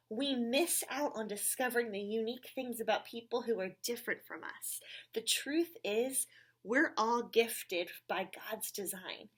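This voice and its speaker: A woman reading the part of a kind, supportive father in a children's storybook, gently encouraging his child. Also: a little high.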